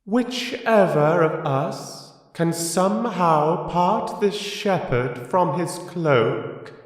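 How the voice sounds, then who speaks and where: A man voicing a proud, confident female sun in a storybook fable, with a teasing, drawn-out, self-satisfied delivery. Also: a little high, reverberant, long reverb tail, pitched above the performer's own voice. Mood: smug, challenging.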